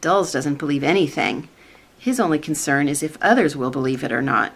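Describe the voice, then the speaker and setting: A woman plays a skeptical government staffer in a radio comedy. Her voice is a little low, and she delivers her doubts in a wry, measured way. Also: creaky, husky, heavily acted. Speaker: actor in a radio play. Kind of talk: sitcom-style radio comedy acting.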